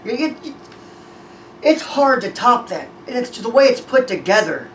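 A young man hosting a music reaction, talking casually with excited, profane, rambling praise. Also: very high voice, normal speed, recorded from a distance. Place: small room with red backdrop. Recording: echoey room, voice a bit off-mic, faint noise.

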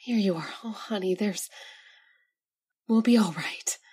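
A woman's voice: mid-range voice, a little slow, a little mellow, husky. A woman playing a devoted, frightened young woman in an old-time radio drama, breathless and pleading. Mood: hushed, fearful.

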